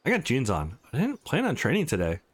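A man's voice: medium pitch, wildly swooping pitch, a little fast, breathy edge. Man reading an irritated, determined young male fighter. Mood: reluctant. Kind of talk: visual novel dialogue and inner monologue read aloud.

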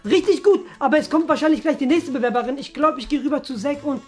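A man's voice: very high voice, fast talker, darkish tone. A man playing a mischievous little boy in a children's comedy skit, childlike, eager and repeating himself. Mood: scheming, gleeful. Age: young adult. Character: mischievous, cheeky little boy, youngest son. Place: small furnished rooms indoors.

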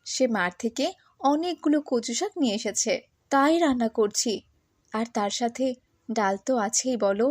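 Woman as high-voiced pious village mother. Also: normal speed, no room sound, clean studio sound, close to an everyday voice. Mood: gentle, devout. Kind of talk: cartoon moral-story voice acting.

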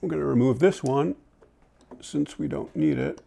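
A man in his sixties informally explaining and demonstrating gear, in a conversational, halting way that often backtracks. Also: mid-range voice, wildly swooping pitch, gravelly, mellow. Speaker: audio-visual technician and product reviewer presenting vintage tape gear. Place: indoor room at home, seated at a table.

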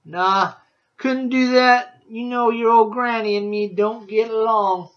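A man voicing a cheerful old backwoods woodsman with loud, drawling hillbilly speech, higher than his own voice. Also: fairly even intonation, slow, heavily acted. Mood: folksy. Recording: dull lo-fi audio.

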